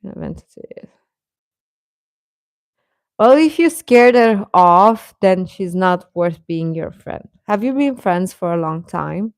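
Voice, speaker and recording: A woman hosting a casual livestream chat, answering viewers informally and haltingly, often repeating short phrases. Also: on the low side, expressive, dry recording, studio quality. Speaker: livestream host chatting with viewers.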